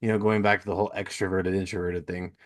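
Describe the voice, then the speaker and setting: A man's voice: low voice, level tone, quick pace. A middle-aged man hosting a podcast in a casual, rambling, self-deprecating and slangy way. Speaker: podcast host. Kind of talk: casual chat.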